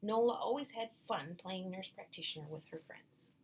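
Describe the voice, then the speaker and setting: A woman's voice: mid-range voice, normal speed, darkish tone. A woman reading a children's storybook aloud, adding small explanatory asides, with some noise in the background.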